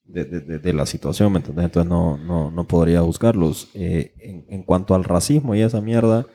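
A Honduran man with a fairly low voice chats casually as a podcast co-host. His speech is loose, slangy and sprinkled with swearing. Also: fairly even intonation, moderate pace, dark tone. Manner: slangy, profane. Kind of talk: casual chat.

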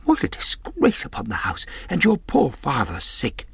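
A flustered innkeeper mother in a storybook, put on by a male narrator. The voice is pitched high and breathy, fretting and lamenting, in a dry recording. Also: huge pitch swings, normal speed, no echo, heavily acted. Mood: distressed. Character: fretful, flustered middle-aged innkeeper mother.

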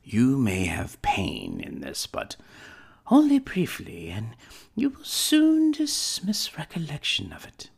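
A woman's voice: very deep voice, wildly swooping pitch, takes it slowly, vocal fry, husky, heavily acted. An actress playing a young woman who earnestly and sadly ends an old engagement. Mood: sorrowful. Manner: earnest, soft, sorrowful.

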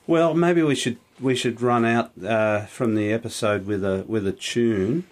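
A man's voice: mid-range voice, a little slow. A man co-hosting an unscripted podcast in a studio, rambling and correcting himself, with frequent laughs. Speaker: podcast co-host. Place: small recording studio. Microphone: studio microphone.

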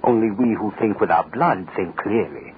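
Man playing low-voiced scheming tyrant, old radio. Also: moderate pace, lightly acted. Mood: grandiose, gloating. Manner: theatrical, menacing, gloating. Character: cold, scheming, power-hungry male state official. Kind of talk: 1940s radio drama acting. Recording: tinny, hissy old radio recording.

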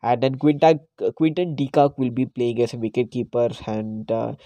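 Male podcast host, hoarse with a cold, mellow dark tone, dry close sound. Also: mid-range voice, moderate pace, dry recording. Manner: hesitant, frequent "uh" fillers. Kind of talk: casual solo cricket podcast.

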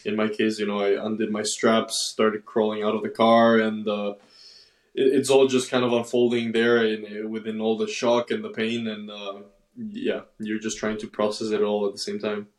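A young man, a racing driver from Ecuador raised in Miami, talking casually in an interview with measured, halting phrasing. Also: on the low side, level tone, reverberant. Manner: measured, halting with fillers and restarts.

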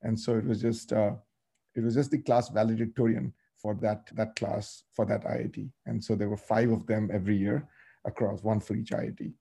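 A man talks in a measured, reflective way as a podcast guest. His voice is gravelly and husky, with a dark, mellow tone. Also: lowish voice, flat delivery. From India. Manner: measured, thoughtful storytelling. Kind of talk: reflective interview answers.

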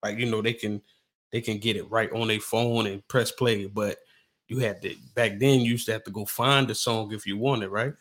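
A man's voice: fairly low pitch, steady tone, moderate pace, fairly bright tone. A man hosting a podcast interview, hyped and casual, packing his questions with "like" and "come on, man.". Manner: hyped, filler-heavy, lots of "like" and "come on, man". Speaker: podcast host.

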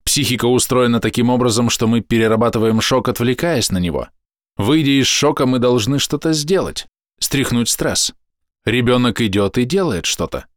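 A man reading a scripted explanatory voice-over in measured, deliberate short phrases, close to the microphone. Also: mid-range voice, lively intonation, no echo. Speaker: narrator.

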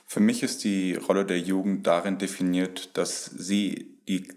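Twenties man, very deep monotone, formal panelist. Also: unhurried. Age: 20s. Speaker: student, panelist.

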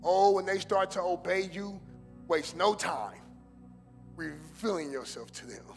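A man preaching a sermon as a pastor, mixing casual slang with fervent preaching that swings between loud urgency and hushed prayer. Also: high voice, measured pace, in a large hall, soft background music. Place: church sanctuary.

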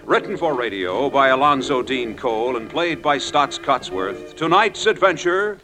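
A man works as announcer and narrator of a vintage radio drama, with music playing behind him. His pitch swings widely as he reads the script in a formal, dramatic style. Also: lively intonation, moderate pace, rough recording.

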